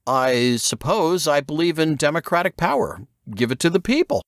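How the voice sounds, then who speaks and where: Hesitant young agent, pitched up, acted by a man. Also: very animated, moderate pace, dry recording, clean studio sound. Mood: tentative, earnest. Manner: hesitant, tentative. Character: young male agent, earnest, unsure, nervous. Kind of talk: audiobook character dialogue.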